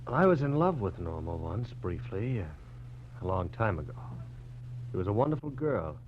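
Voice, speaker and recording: A man's voice: on the low side, big pitch swings, moderate pace. An actor playing a polite but evasive, uneasy man in a 1940s radio drama, careful and hesitant. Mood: regretful, smooth. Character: evasive, polite male former lover, uneasy and defensive. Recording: muffled, noisy 1940s radio recording with hum.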